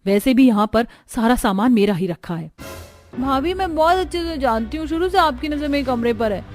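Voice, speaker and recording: A woman's voice: high voice, animated, normal speed, faint music behind. A woman voicing an irritable, possessive young sister-in-law in a Hindi family cartoon, snappy and defensive. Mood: angry.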